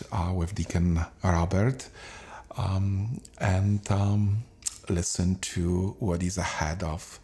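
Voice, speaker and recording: A man hosts a parish podcast in a very low voice. He talks slowly and haltingly, with many pauses and fillers. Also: creaky, warm tone. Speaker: parish priest and podcast host. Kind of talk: podcast announcement and interview.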